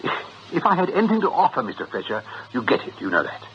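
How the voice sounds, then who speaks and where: Casting: slippery British boss, breezy and mock-polite, very swooping delivery, muffled radio-play sound. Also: male voice, mid-range voice, huge pitch swings, quick pace. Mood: feigned sympathy. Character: jovial, slippery male agency boss plotting crime. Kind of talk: British radio comedy-drama acting. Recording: muffled radio drama recording, faint hiss.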